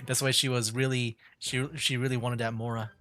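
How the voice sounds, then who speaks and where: Male gaming streamer, casual chuckly live commentary, mid-pitched voice, soft music behind. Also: flat delivery, a little slow. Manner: chuckles often while talking. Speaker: streamer and gamer. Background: faint game music.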